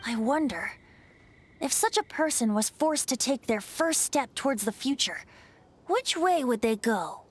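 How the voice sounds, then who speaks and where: Woman voicing a teasing young bard boy, high and sing-songy, soft music under. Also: expressive, a little slow. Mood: musing. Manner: teasing and musing, lightly poetic. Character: playful, wistful young male bard. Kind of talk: game cutscene voice acting. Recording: game music faintly behind.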